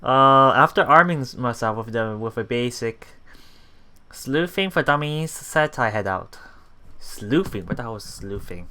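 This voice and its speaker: A man reading a visual novel aloud for a let's play, halting and repeating words, breaking off for casual commentary. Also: mid-range voice, creaky, pushed and bright, slight background noise.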